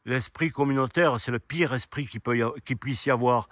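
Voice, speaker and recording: A man delivers an off-the-cuff opinion monologue in a medium-pitched voice. He is indignant and argumentative, addressing the listener head-on and pausing to search for words. The sound is dry, with hardly any echo. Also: fairly bright tone. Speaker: amateur video commentator. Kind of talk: rambling opinion monologue to camera. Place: quiet spot outdoors.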